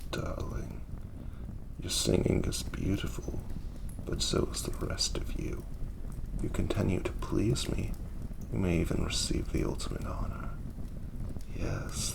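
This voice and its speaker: Demon prince, played by a man, very low and gravelly; silky, haughty, possessive courtly seducer for fantasy audio roleplay, fire ambience behind. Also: full character voice. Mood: seductive, low. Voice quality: low gravelly voice, often dropping to breathy murmur. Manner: silky, formal, unhurried, haughty courtly speech. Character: suave, commanding, possessive demon prince, flirtatious and indulgent. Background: crackling fire ambience.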